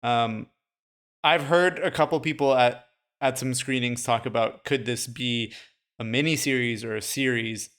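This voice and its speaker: A man with a smooth, resonant voice talks loosely about films on a podcast. His pitch sits in an ordinary middle range. His delivery is easygoing and full of "like" and "you know". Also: unhurried, a bit bright. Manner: conversational, frequent "like" and "you know". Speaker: podcast co-host. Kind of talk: casual film discussion.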